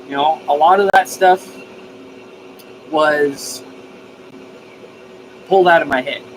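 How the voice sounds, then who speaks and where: A man's voice: on the high side, slow pace, noisy background. A man chatting casually on a live stream as host, enthusiastic and effusive, with a folksy, informal, mildly profane way of talking. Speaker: live stream host of a DIY radio channel.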